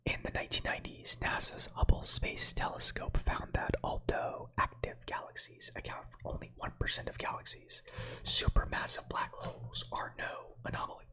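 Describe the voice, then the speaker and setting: A man in his thirties whose first language is Slovak, whispering softly as an ASMR streamer who reads space facts with chatty, meandering asides to viewers. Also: normal speed, low-quality recording. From United States. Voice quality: breathy whisper.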